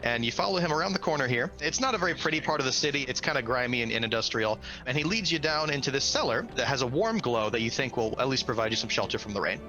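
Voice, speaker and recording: A man's voice: mid-range voice, expressive, fast, hardly any pauses, sharp tone, soft background music, poor audio. A man narrating a tabletop role-playing game as game master.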